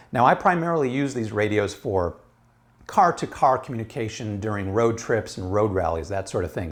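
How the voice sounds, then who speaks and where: A man's voice: fairly low pitch, no echo. A man presenting a product range test in a casual, conversational way from inside a car.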